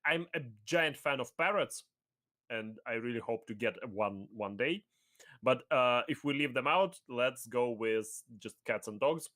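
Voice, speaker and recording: Man, guest, rambling talk, laughs a lot, casual swearing, mid pitch. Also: measured pace, pushed and bright. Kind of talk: casual debate chat.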